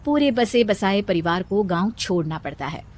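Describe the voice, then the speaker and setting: Female story narrator, mid-range pitch, scripted moral tale narration. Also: lively intonation, normal speed, a little mellow, dry recording.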